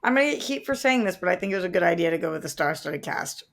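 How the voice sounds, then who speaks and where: A woman's voice: lowish voice, lively intonation, very fast, creaky. A woman co-hosting a movie podcast, sharing her opinions in casual conversation. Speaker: podcast co-host reviewing movies. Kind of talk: casual chat, opinionated discussion.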